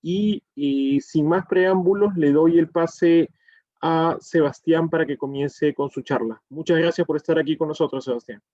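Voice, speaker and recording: A man's voice: on the high side, measured pace, a little mellow, no echo, highs cut off. A man moderating a webinar, reading a speaker introduction with frequent fillers. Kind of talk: formal introduction.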